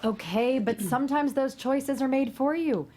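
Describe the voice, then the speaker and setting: A woman's voice: sits high, moderate pace, dark tone, a little hiss or hum, close to an everyday voice. A woman playing a blunt, needling best friend in her forties at a screenplay table read, matter-of-fact in delivery.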